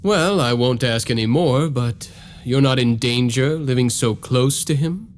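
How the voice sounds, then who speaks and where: A man's voice: mid-range voice, a little slow, a little hiss or hum, natural voice. An actor playing an earnest, determined nobleman turned vampire hunter in a video game, speaking directly. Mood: earnest, resolute. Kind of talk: video game cutscene voice acting.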